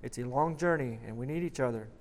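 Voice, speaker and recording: A man voicing a cruel, possessive demon who mocks and taunts in a steady storytelling voice. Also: mid-range voice, fast, natural voice. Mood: taunting. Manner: mocking, taunting, shrieking. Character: mocking, clinging male demon, cruel and possessive.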